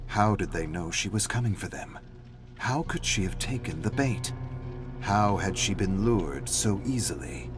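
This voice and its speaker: An actor playing a grave, brooding storyteller, narrating dark tales in a measured, theatrical delivery. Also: male voice, low voice. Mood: intense, resolute. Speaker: narrator of game lore logs. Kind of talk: dramatic scripted narration.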